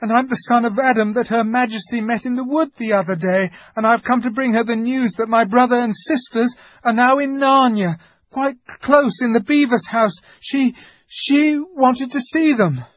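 A young storybook boy speaks far above a grown man's pitch. He is timid and trembling, anxiously polite and eager to please. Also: very animated, a little slow, warm tone, breathy edge, no echo, heavily acted. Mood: trembling fear. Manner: trembling, stammering, deferential. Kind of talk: audiobook character voice.

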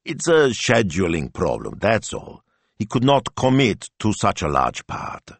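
A man voicing an excitable, anxious shopkeeper with a flustered, urgent, over-polite way of talking in an audiobook. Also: fairly low pitch, a little slow, creaky, no room sound, pitched above the performer's own voice, full character voice. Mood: placating. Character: excitable, anxious, fussy male Italian shop owner.

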